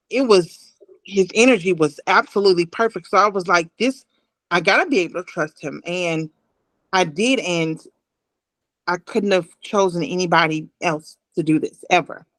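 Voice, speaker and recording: A woman's voice: on the low side, normal speed, a bit bright, no echo. A woman in her forties talking earnestly and emotionally as a guest in a heartfelt interview on a video call. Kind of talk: heartfelt personal interview talk.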